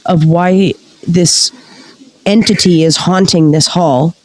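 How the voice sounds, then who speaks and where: An actress playing an eager, credulous theatre member investigating a ghost in an audio play, with an earnest, halting, intrigued way of talking. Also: female voice, on the low side, fairly even intonation, a little mellow, no room sound. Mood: earnest, uneasy. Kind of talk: audio play acting, interview-like conversation.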